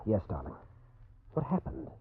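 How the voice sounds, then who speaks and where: An actor playing a devoted, reassuring doctor and husband, coaxing and soothing, in a 1940s-style radio drama. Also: male voice, on the low side, huge pitch swings, normal speed, gravelly, exaggerated voice. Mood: concerned, tender. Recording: muffled, faintly noisy old radio recording.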